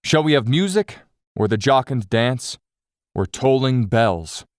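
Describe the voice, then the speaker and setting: Male poetry reader, medium pitch, rhetorical delivery, close dry sound. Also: animated, unhurried, dry recording. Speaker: poetry reader in a group recording. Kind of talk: rhetorical verse reading.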